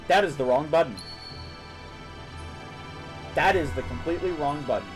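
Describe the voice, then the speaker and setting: A man with a somewhat high voice streams a video game and talks casually over clearly audible music. He rambles through his opinions in an informal, conversational way. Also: normal speed. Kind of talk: casual live-stream commentary while playing a game.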